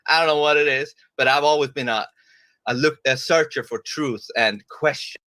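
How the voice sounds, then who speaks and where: Bright, hesitant male debater from Sweden. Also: on the high side, moderate pace, no echo. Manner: hesitant, searching for words, many fillers. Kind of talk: debate opening statement.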